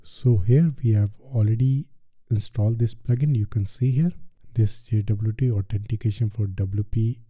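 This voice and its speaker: Indian guy doing a coding screencast, really dark soft-edged voice, super dry recording, walks thru each step. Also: fairly low pitch, normal speed, no room sound. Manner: step-by-step instructing with repetitive filler phrases. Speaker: tutorial presenter. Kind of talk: software screencast tutorial narration.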